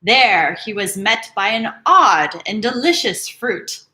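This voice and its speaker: A woman teacher reading picture books aloud to children live, animated and dramatic, with casual chatty asides. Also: mid-range voice, slow pace. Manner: animated, dramatic storytelling mixed with casual commentary.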